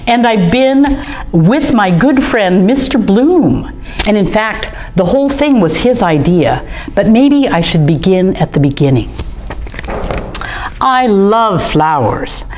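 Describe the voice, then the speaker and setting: A woman's voice: mid-range voice, very animated, slow pace, darkish tone, slight background noise. A woman in an art museum gallery, reading a picture book aloud to young children in a chatty, friendly way and talking straight to them. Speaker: museum storytime reader.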